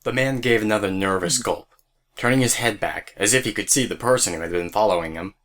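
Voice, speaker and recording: Low-pitched male story narrator. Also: fairly quick, a bit bright. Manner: storyteller addressing listeners directly. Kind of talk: scripted audio drama narration.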